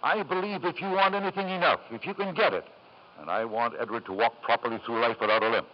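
A man's voice: medium pitch, fast talker, natural voice. Actor as scheming father, muffled vintage radio. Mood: fervent. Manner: persuasive, insinuating, theatrical. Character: ambitious, scheming father, smooth-talking and desperately gambling. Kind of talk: 1950s radio drama acting. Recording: dull lo-fi vintage radio broadcast.

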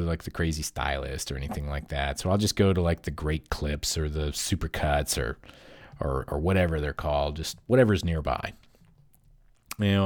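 A man's voice: low-pitched, a little mellow. Rambling man telling a story. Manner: rambling, filler-heavy. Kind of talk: casual first-person storytelling.

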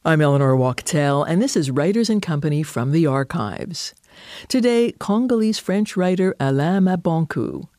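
A woman radio host reading a measured, precise introduction and then interviewing a guest. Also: low-pitched, animated, dark tone, no echo, studio quality.

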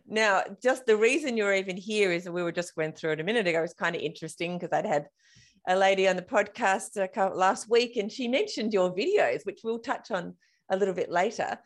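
A woman's voice: medium pitch, a little fast. An Australian woman hosting a podcast, chatting in a bubbly, talkative way and laughing often. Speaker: podcast host. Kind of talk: casual interview chat.

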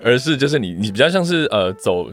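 A man's voice: mid-range voice, sing-song, a little fast, fairly bright tone, faint music behind. A man co-hosting a casual podcast chat, speaking with a Taiwanese Mandarin accent. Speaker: podcast co-host.

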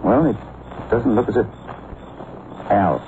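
An actor playing a suave, calm, dryly ironic gentleman sleuth in an old radio drama, polished and unhurried. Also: lowish voice. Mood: calm concern. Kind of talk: 1940s-50s radio drama acting. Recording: muffled, noisy old radio recording.

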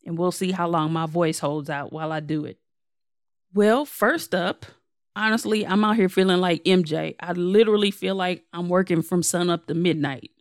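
A young woman, slightly hoarse, hosting her own podcast in a casual, candid and self-deprecating way. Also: on the low side, normal speed, dry recording, studio quality. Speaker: podcast host. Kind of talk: casual solo podcast update.

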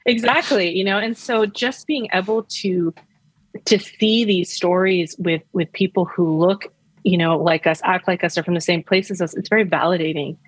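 A woman's voice: on the low side, normal speed, slightly rough, low-quality recording. A woman author being interviewed on the radio, speaking earnestly. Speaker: author guest.

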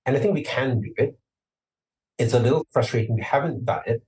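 A man from Singapore, a lawyer, answering interview questions in a measured, explanatory way that turns emphatic at points. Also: medium pitch, darkish tone, recorded from a distance, rough recording.

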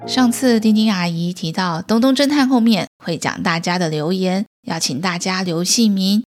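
Woman, children's podcast host, medium pitch, explanatory talk addressed to young listeners. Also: moderate pace, soft background music.

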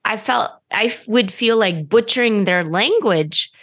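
A woman's voice: mid-range voice, expressive, unhurried, fairly bright tone. An American woman hosting a podcast in a conversational, effusive and self-deprecating way. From United States. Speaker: podcast host.

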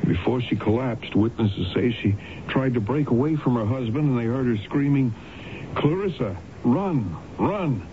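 An actor playing a matter-of-fact doctor in a 1970s radio drama. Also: male voice, mid-range voice, lively intonation, moderate pace, close to an everyday voice. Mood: earnest, reporting. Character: matter-of-fact male doctor, colleague explaining an incident. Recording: dull, noisy 1970s radio broadcast recording.

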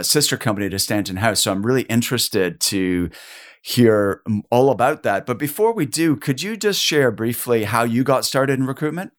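A man hosting a podcast, introducing his guest with eager enthusiasm. His voice sits on the low side and is a touch husky from a cold he is nursing. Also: a bit bright. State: has a cold, a bit husky. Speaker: podcast host. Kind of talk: podcast interview.

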